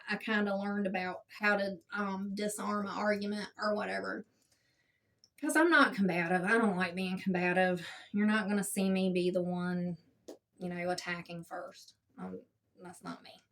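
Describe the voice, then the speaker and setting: A woman with a smooth, soothing voice giving a casual spiritual self-help talk. She speaks gently and unhurriedly, checking in with a frequent "okay?". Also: steady tone, normal speed, recorded from a distance. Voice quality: smooth, low-toned soothing voice. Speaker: spiritual teacher.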